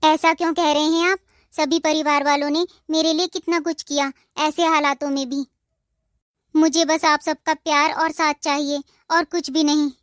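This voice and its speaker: A woman voicing a gentle, polite young newlywed daughter-in-law in a cartoon, with a soft, respectful manner. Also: high voice, level tone, moderate pace, no echo, studio quality, lightly acted. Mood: sweet. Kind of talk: Hindi cartoon dubbing.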